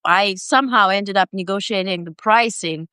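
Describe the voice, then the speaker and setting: A middle-aged woman from Ukraine, an independent journalist interviewed on a video call, talking in a halting way with many fillers. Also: medium pitch, pushed and bright, clear, resonant tone, no echo, clean studio sound. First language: Ukrainian or Russian. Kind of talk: interview conversation.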